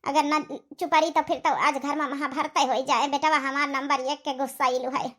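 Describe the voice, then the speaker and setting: A woman plays an elderly, long-suffering village mother-in-law from a cartoon comedy. She has a high-set voice and an Awadhi accent, grumbling with weary resignation. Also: little pitch movement, moderate pace, lightly acted. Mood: resigned.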